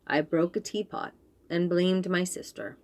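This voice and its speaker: A young woman reading a children's picture book aloud, slowly and clearly explaining words. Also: on the low side. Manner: slow, clear and expressive, explaining fancy words.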